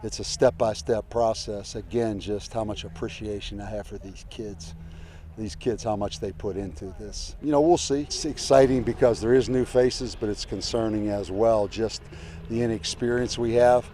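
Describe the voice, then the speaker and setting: Low, mellow male coach. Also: steady tone, normal speed, slight background noise. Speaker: college football coach. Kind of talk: informal press interview.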